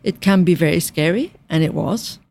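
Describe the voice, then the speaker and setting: A woman in her fifties from Ireland, with a softened Irish accent, answering interview questions in a measured way and listing items at length. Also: on the low side, creaky, a little hiss or hum. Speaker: UN finance director guest.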